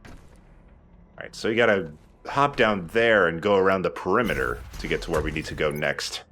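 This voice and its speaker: A man's voice: sits low, normal speed, a bit bright, slight background noise. A man hosting a let's play, giving casual commentary while he plays. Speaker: let's play host and player.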